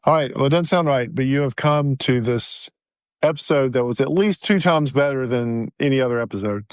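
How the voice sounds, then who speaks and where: Male podcast co-host, mid-pitched, relaxed joking chat, dry recording. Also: fairly quick, clean tone, dry recording. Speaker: podcast co-host and sound engineer. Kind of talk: casual chat.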